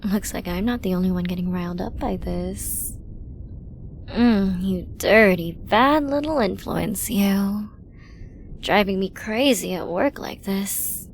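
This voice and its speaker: A woman playing a flirty, dominant villain in an ASMR roleplay, teasing and possessive, often slipping into an intimate whisper. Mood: seductive, teasing. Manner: slow, teasing, breathy lapses into whispering. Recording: noisy with low rumble.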